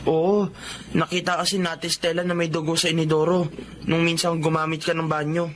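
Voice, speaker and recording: A man's voice: on the high side, level tone, a little fast, a bit bright, lightly acted. A man acting a frank, attentive teenage younger brother in a Filipino radio drama. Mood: concerned. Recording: clearly noisy background ambience.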